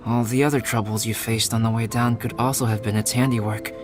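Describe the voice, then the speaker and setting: An actor playing a calm, cerebral young alchemist in a fantasy video game, explaining things in a measured, formal, scholarly way. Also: male voice, fairly low pitch, natural voice. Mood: calm, analytical. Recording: loud background music under the voice.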